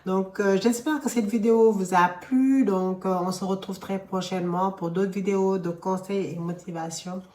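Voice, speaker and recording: A woman's voice: mid-range voice, fairly even intonation, moderate pace, few pauses, darkish tone. Woman with a cold, echoey room. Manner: chatty, conversational, addresses viewers directly. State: a bit of a cold. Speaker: entrepreneur and finance vlogger. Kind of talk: casual advice vlog.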